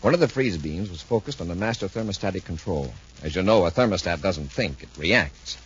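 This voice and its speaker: An actor playing a calm, authoritative space patrol commander with a husky voice in a 1950s radio serial. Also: male voice, sits low, fairly quick, heavily acted. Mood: explaining. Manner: decisive, patiently explanatory. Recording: muffled 1950s radio recording.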